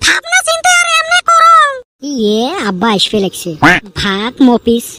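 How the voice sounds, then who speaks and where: A performer voicing a sly, sharp-tongued college-age village girl in a comic cartoon, with a rural Goalpara Bengali dialect. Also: mid-range voice, wildly swooping pitch, moderate pace. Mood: sly, grumbling. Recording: loud music under the voice.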